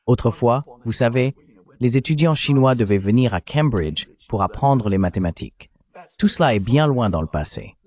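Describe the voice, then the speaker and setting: A synthetic male voice carries a translated commentary. It has a mid-range pitch that swings widely, and it argues its case with steady emphasis. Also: moderate pace. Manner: emphatic, argumentative.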